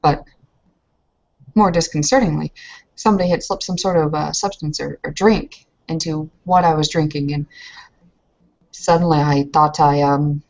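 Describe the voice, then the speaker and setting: An earnest, shaken young woman character from a tabletop game , played by a woman. She speaks haltingly in a fairly low voice. The sound is dull and lo-fi. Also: steady tone, darkish tone. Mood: upset, defensive.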